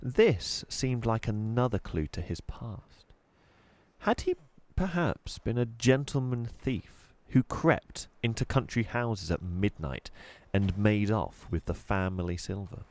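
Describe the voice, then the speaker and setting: A man narrating a children's storybook expressively, with deliberate, dramatic emphasis. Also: on the low side, lively intonation, a little mellow, no echo. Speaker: storybook reader.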